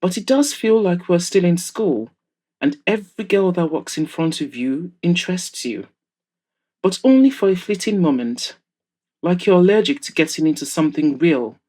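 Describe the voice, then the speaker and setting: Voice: mid-range voice, animated, moderate pace, a little mellow, natural voice. Audio drama role: plain-spoken husband read by a narrator, matter-of-fact, candid friend, mid-range voice. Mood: frank, teasing. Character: matter-of-fact married man, frank friend. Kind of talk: audiobook-style character dialogue.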